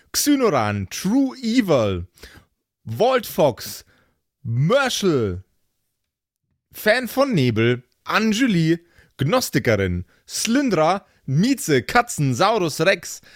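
A man's voice: high voice, no room sound, studio quality. A man hosting a podcast casually, jokey and playful as he reads out names and addresses listeners. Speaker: podcast host and player of an improvised tabletop role-playing show. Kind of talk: casual podcast outro, reading out supporter names.

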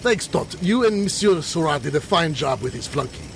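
Excitable inventor-adventurer for radio serial, male actor: exclamatory, animated, slightly high-pitched. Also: normal speed, vocal fry, a little hiss or hum. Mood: triumphant. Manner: theatrical, exclamatory. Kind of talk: old-time radio adventure serial acting.

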